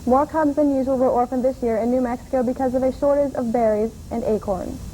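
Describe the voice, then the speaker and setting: High-voiced woman reading the news. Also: a little hiss or hum, rough recording. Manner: even, measured newsreading. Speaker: news anchor. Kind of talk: read news bulletin. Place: student TV studio.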